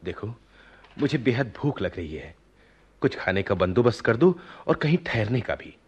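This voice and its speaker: Lost young prince, husky, animated. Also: male voice, fairly low pitch, normal speed. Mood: tired, pleading. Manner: dramatic. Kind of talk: radio play acting.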